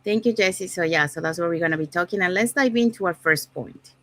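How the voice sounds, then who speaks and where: A woman from Panama hosting an online panel talk, relaxed, often saying "so yeah". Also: lowish voice, quick pace. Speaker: host.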